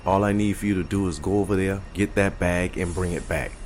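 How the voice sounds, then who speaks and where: A man's voice: low-pitched, fairly even intonation, brisk, darkish tone, a little hiss or hum, natural voice. A man playing a confident, street-smart neighborhood guy planning a job in an audio drama, talking in laid-back slang. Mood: confident, scheming. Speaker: storytime host and character actor.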